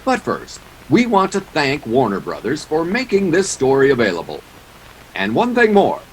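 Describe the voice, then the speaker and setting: A man's voice: medium pitch, huge pitch swings, moderate pace, a little hiss or hum, rough recording. A man playing a showy 1940s radio announcer, reading scripted introductions and advertising like a polished salesman. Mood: formal, grand.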